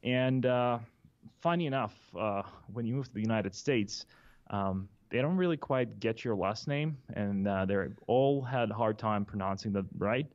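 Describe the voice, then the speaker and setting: A Russian-accented man talks in a lowish voice, pausing often with ums and restarts. His sound is dull and a bit lo-fi, like a call recorded from an office. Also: darkish tone. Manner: halting, many fillers and restarts. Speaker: guest. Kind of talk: casual interview talk. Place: office conference room with windows. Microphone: studio mic on a boom, headphones.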